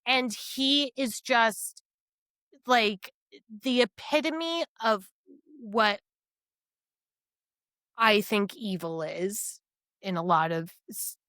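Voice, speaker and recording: A woman with a fairly high voice talks at a very relaxed pace. She co-hosts a casual podcast and speaks emphatically, not shy of swearing. The sound is very dry, with no room echo. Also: extremely slow, pauses often. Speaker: podcast co-host. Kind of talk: casual book discussion chat.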